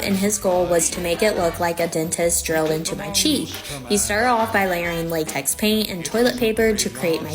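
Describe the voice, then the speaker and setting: A woman reporter giving first-person scripted video narration. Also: mid-range voice. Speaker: newspaper reporter. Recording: voice-over with music bed. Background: music.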